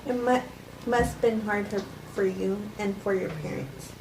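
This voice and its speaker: A woman's voice: mid-range voice, fairly even intonation, a little slow. A woman reading the part of a guarded, composed widow in an understated, hesitant script table read. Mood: cautious, polite. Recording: echoey room with clear background noise.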